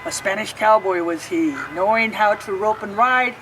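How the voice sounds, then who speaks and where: A woman reading a rhyming picture book aloud, pausing to explain the pictures. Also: medium pitch, a bit bright, far from the mic, a little hiss or hum, poor audio. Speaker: storybook reader.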